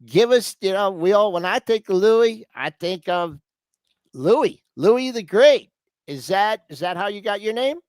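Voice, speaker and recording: Playful host with a dry close sound. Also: male voice, high voice, expressive, unhurried, darkish tone, smooth, no room sound. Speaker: show host. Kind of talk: casual live show hosting.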